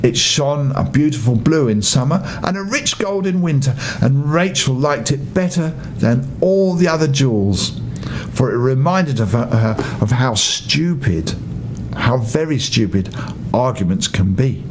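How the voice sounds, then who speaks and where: An older man narrating a children's bedtime story as an expressive storybook reader. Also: mid-range voice, measured pace, a little hiss or hum.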